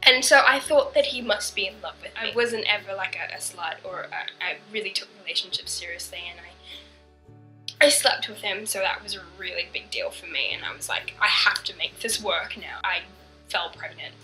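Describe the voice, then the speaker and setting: A young woman with a bright, clear voice talks openly and reflectively about her life for a documentary interview. She often sinks to a soft, near-whispered tone. Faint music plays beneath her. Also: medium pitch, moderate pace, low-quality recording. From born in Canada, raised in Bangladesh.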